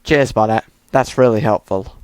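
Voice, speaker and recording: A woman voicing a calm, caring robot surgeon in an amateur comic dub, speaking in a measured, reassuring way. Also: rumbling low, voice pushed down.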